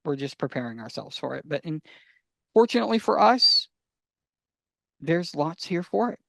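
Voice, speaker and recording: A man's voice: fairly high pitch, very animated, normal speed, vocal fry, bright, forceful tone, no echo. A man chatting casually as a podcast guest, making emphatic points in short, restated phrases. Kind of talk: casual chat.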